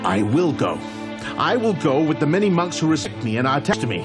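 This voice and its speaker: A man playing a defiant, scheming monk in an animated religious drama, formal and insistent. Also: fairly high pitch, brisk, music in the background. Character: defiant, scheming male monk challenging his master.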